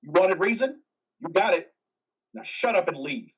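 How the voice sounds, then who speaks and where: A troubled, defiant veteran acted by a man speaks earnestly in a stage drama. The voice is raspy and on the high side, moving widely in pitch, a little away from the microphone. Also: lively intonation, normal speed, distant mic, poor audio. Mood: defiant, angry. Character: troubled, defiant male war veteran in psychiatric care. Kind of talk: play reading acting.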